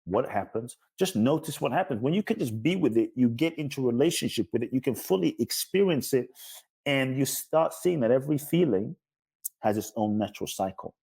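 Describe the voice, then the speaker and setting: A man's voice: medium pitch, dark tone, slightly rough. A man speaking as a guest spiritual teacher in an interview, earnestly explaining in emphatic, repeating lists. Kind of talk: earnest self-help teaching in conversation.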